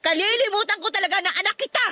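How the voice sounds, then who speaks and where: Shrill, scolding Filipino mother. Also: very fast, sharp tone, dry recording. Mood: angry, scornful. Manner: scolding, nagging, exclamatory. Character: angry, scornful, domineering middle-aged mother. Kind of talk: Tagalog radio drama acting.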